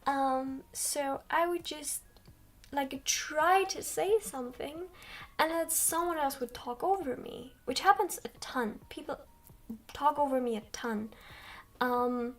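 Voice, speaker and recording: A young woman chats with her stream in a soft, quiet, high voice. She talks slowly and rambles, filling pauses with ums. She sits some distance from the microphone. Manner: slow, rambling, many ums. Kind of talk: soft-spoken livestream chat. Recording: distant from mic.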